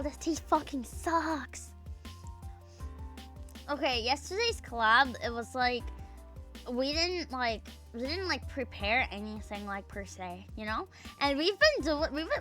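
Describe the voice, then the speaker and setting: Bright-voiced young woman rambling on stream. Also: high-pitched. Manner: rambling, profane, filler-heavy, trails off mid-sentence. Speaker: VTuber streamer. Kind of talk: casual stream chat, reading chat aloud.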